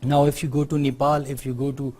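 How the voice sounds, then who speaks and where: A middle-aged man with a Pakistani accent giving an unscripted university lecture, often pausing on fillers and checking in with "right?". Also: medium pitch, flat delivery, a little mellow. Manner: unscripted explaining, many fillers, tag questions like "right?".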